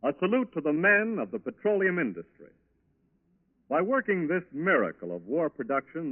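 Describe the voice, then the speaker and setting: An American man announcing on 1940s radio, reading a script in a formal, rousing, emphatic style. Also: medium pitch. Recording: muffled 1940s radio broadcast recording.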